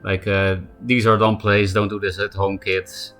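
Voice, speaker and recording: A man's voice: on the low side, level tone, fairly bright tone, soft background music. A man with a Polish accent streaming a card game live, chatting casually and answering viewers by name. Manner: chatty, answers chat by name, quick asides. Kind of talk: casual live-stream chat while playing.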